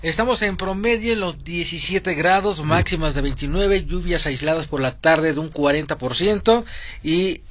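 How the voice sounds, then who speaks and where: A man with a Mexico City Mexican accent, working as an informal radio traffic and weather reporter in a studio, a little halting and repeating words. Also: fairly high pitch, fairly bright tone. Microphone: studio microphone.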